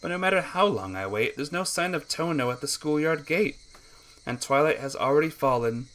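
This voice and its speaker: A man reading a visual novel aloud as a let's play narrator, with expressive, earnest storytelling delivery. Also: a little high, normal speed.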